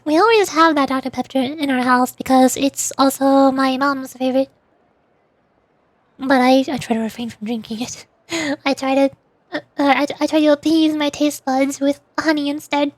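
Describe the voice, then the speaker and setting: A woman's voice: sits high, fairly even intonation, normal speed, no room sound. A woman streamer chatting casually and rambling, often giggling as she answers her chat.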